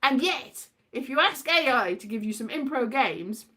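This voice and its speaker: A British woman telling casual, rambling comic anecdotes in an animated, theatrical way, acting out exchanges as she goes. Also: high-pitched, normal speed. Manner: animated, theatrical, acts out exchanges, breaks into sing-song. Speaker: solo host of an audio show.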